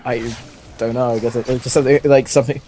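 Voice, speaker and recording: A man's voice: mid-range voice, steady tone, fast, warm tone, faint music behind. A young man casually reading fan fiction aloud and commenting on it in a mocking, amused way. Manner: mocking, often breaks up laughing. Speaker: gaming video host reading fan fiction.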